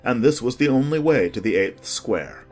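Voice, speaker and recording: A narrator reading a children's storybook in an expressive, scripted storytelling manner. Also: mid-range voice, moderate pace, faint music behind. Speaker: audiobook narrator.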